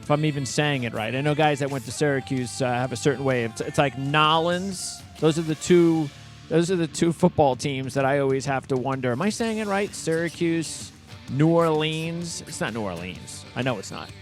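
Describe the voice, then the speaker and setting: Rambling male radio host, higher-pitched voice. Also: faint music behind. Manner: rambling, halting, repeats words. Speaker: sports radio show host. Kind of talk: casual interview and show patter.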